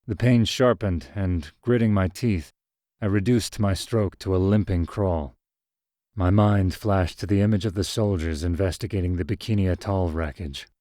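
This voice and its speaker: Low-voiced man narrating a story, dry close mic. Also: dry recording. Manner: measured, even storytelling. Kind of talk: scripted first-person story narration.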